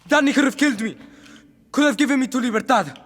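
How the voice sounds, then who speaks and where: An actor playing a dictator's defiant, pleading teenage son in a video game, urgent and earnest. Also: male voice, extremely high pitch, quick pace, fairly bright tone, slightly rough, full character voice. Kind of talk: cinematic dramatic game acting. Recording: reverberant room, faint noise.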